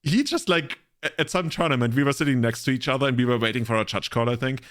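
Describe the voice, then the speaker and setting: A man's voice: medium pitch, fast talker, a bit bright. A man co-hosting a casual podcast chat, rambling and joking, with many filler words. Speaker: podcast co-host.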